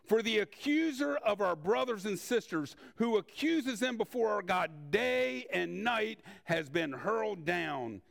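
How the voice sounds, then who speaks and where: An older man preaching in a conversational, storytelling way, with rhetorical questions and deliberate pauses. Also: high voice, expressive, creaky. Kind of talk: sermon with personal anecdotes.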